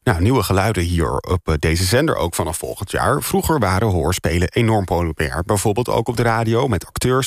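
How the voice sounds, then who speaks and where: Casting: male Dutch radio host, conversational and direct, fry in the voice, very little room sound. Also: fairly low pitch, no room sound, clean studio sound. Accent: Dutch (Netherlands). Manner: informal, addresses listeners directly.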